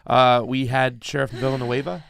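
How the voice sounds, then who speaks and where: A man hosting a live talk stream, loud and emphatic, with lots of fillers and restarts. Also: medium pitch, little pitch movement, normal speed, gravelly, clear, resonant tone. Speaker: show host. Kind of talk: casual live-stream chat.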